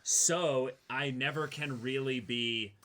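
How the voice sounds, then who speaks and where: A man talking casually as a co-host in a relaxed back-and-forth discussion. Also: medium pitch, takes it slowly. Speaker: co-host of an anime reaction discussion.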